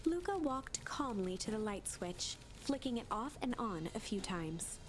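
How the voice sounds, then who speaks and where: A woman's voice: on the high side, very animated, unhurried. A woman giving a dramatic reading of a story game's narration. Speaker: let's play host, narrator. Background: music.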